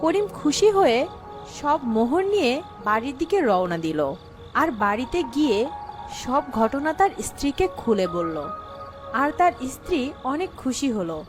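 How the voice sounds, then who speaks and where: A woman reads a children's folk tale as narrator. Her voice is high and swoops expressively, with music softly underneath. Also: big pitch swings, normal speed. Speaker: story narrator.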